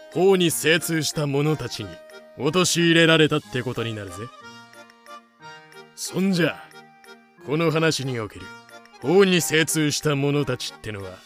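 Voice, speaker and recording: A man plays a sly passing journalist in an audio drama. He has a bright, fairly high voice and talks in a casual, probing way over faint music. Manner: casual, probing, rough masculine speech. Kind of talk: Japanese audio drama acting.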